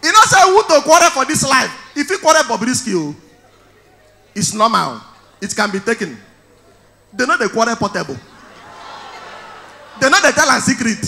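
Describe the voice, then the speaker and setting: A man in his thirties with a Nigerian accent, performing stand-up on stage with a handheld mic, telling stories loudly and shouting his punchlines. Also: very high voice, big pitch swings, moderate pace, vocal fry, long pauses. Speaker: stand-up comedian. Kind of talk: stand-up comedy in Pidgin. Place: large indoor event hall on stage.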